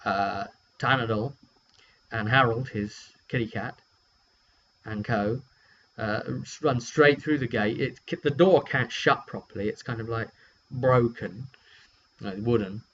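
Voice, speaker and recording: Low-voiced British man, dull hummy recording. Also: slow pace, pauses often. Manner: rambling, hesitant, chatty storytelling. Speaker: game master and vidcast host. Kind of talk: casual session recap, unscripted. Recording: dull lo-fi recording with steady hum.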